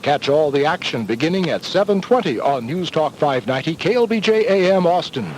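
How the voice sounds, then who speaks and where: Male station announcer, slightly high voice, scripted sports promo, clearly noisy radio recording. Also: animated, a little fast. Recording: noisy old radio broadcast.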